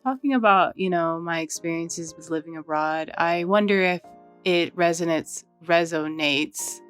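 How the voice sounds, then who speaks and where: Smooth-voiced woman chatting casually. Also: fairly low pitch, a little slow, soft background music. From United States. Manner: relaxed, rambling, with frequent "like" and "you know". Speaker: art channel host. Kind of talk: casual chat while drawing.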